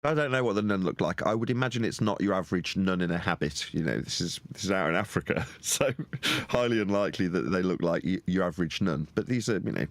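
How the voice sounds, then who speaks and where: A man's voice: low-pitched, animated, fast, dry recording. A man from England chatting casually in a group voice call, blunt and opinionated, laughing often.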